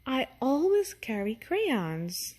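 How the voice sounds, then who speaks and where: A woman's voice: high voice, sing-song, slow pace, a little mellow, heavily acted. A woman voicing a shy little girl platypus in a children's storybook, speaking in a simple, childlike way. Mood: matter-of-fact.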